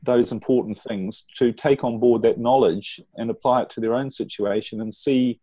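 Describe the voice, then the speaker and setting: A middle-aged New Zealand man speaks in a warm, mellow tone, earnest and measured as he pauses and restarts his sentences. The sound is dry and close, with no room around it. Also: mid-range voice, fairly even intonation, breathy edge, dry recording. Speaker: relationship coach. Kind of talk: interview guest telling his story.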